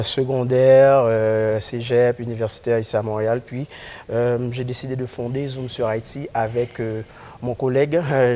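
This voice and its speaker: An earnest young man from Haiti, answering as a guest in a studio interview. He talks in a halting way, full of hesitations, at a middling pitch. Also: darkish tone. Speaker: guest, community organizer.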